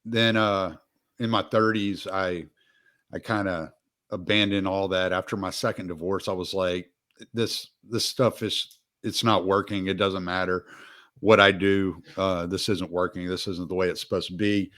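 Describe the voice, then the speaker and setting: A man in his forties hosts a relaxed talk show, his voice on the low side. He rambles easily and chuckles often. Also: a little slow. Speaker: podcast host. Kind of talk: casual interview conversation.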